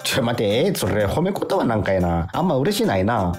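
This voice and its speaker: A man as a lively-pitched, tired Kansai dad. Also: normal speed, soft background music, lightly acted. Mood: baffled, resigned. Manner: hesitant, mild. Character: tired, indulgent, put-upon father of a young girl. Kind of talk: radio drama acting.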